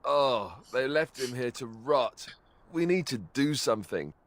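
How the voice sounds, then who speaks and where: An actor playing an earnest, loyal young warrior in a fantasy video game, speaking sincerely and with measured delivery. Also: male voice, medium pitch, expressive, no echo, close to an everyday voice. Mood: solemn grief.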